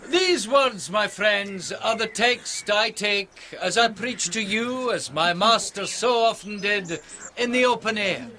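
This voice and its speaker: Very high, bright-voiced declaiming preacher. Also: male voice, expressive, slow, full character voice. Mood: fervent preaching. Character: earnest young minister preaching in the open air. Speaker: actor in a radio drama. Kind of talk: sermon in a radio drama.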